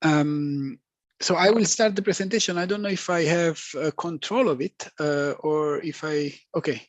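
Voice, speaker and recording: A man presenting as an official at a webinar, talking haltingly with many fillers over a video call. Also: fairly high pitch, no room sound. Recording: dull lo-fi audio.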